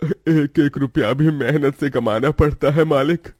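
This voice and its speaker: A man's voice: a little high, unhurried, a little mellow, no room sound, studio quality. A man playing a poor old farmer in a cartoon moral story, a worried father who speaks in a humble, plaintive, lamenting way. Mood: humble pleading.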